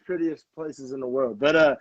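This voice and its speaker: A man's voice: medium pitch, fairly even intonation, normal speed, over a noisy crowd. A young man co-hosting a podcast in casual chat, rambling with lots of fillers and restarts. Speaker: podcast co-host. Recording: dull, dry lo-fi sound.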